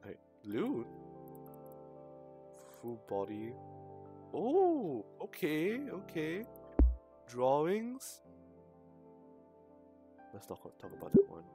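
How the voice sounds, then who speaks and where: A man live streaming a game, chatting casually and haltingly, muttering to himself and to his chat. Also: a little high, huge pitch swings, dark tone, faint music behind.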